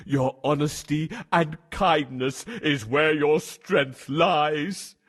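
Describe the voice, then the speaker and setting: A man reading a storybook and voicing a proud, blustering male lion who roars and talks down to others. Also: slow pace. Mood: grateful. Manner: booming, roaring, haughty. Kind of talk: storybook narration.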